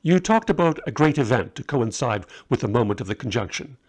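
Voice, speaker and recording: A man voicing a loyal, steady magus in a story, an inquisitive friend who is reassuring and direct. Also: mid-range voice, a little fast. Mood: curious.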